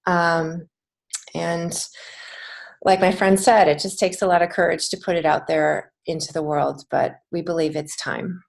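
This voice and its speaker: An American woman speaks as a guest in a conversational interview. Her voice sits slightly low for a woman. She comes across as earnest and encouraging, explaining things in an informal way. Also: level tone, measured pace, gravelly. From United States. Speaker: podcast guest, children's book author and teacher.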